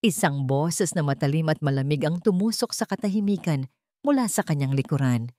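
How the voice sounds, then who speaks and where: A woman with a Filipino accent narrating a scripted dramatic story in measured, suspenseful short phrases. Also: sits low, expressive, mellow, no echo, clean studio sound.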